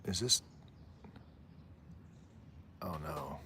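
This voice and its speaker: A man with a low voice hosting a live stream outdoors on a rooftop, chatting in a rambling, easygoing way. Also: animated, very slow, background noise. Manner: rambling, easygoing, often trails off and laughs. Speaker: livestream host. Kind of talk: casual live commentary.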